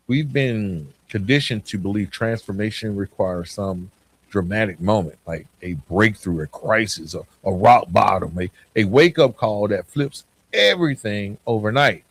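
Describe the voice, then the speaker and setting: A man hosting a motivational self-improvement podcast, speaking conversationally and stressing his points with deliberate, repeated phrasing. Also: fairly low pitch. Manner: conversational, informal, with "right?" check-ins. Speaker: podcast host.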